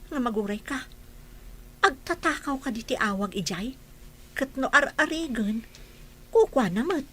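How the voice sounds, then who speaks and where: Woman as a bright, high-pitched grumbling housemaid. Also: slightly rough, full character voice. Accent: Ilocano Filipino. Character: nosy, indignant housemaid, loyal to her employer. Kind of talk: comedy radio drama acting.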